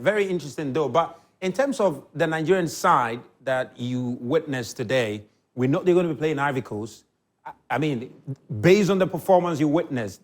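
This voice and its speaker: A man hosting a sports talk show, murmuring acknowledgements and asking hesitant questions. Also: on the high side. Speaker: host.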